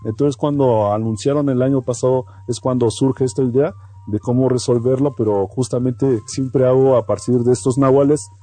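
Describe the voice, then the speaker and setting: A man's voice: medium pitch, fairly even intonation, moderate pace, dark tone, husky, no echo. A man from Oaxaca with a Mexican accent, a native Mazatec speaker, answering as an artist guest in a radio interview.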